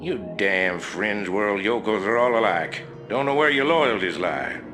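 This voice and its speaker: An actor playing an arrogant male colonial officer with a slow, condescending drawl. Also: lowish voice, expressive. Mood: scornful. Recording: voice over loud game music.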